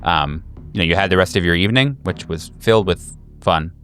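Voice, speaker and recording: Low-pitched man running a horror game. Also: fairly quick, fairly bright tone, soft background music. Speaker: game master. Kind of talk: narrating a tabletop horror game.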